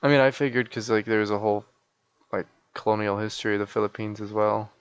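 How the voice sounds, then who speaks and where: A man's voice: lowish voice, fairly even intonation, fast, smooth, no room sound, muffled recording. A sleepy man chatting casually and in a rambling, folksy way as he live-streams a game.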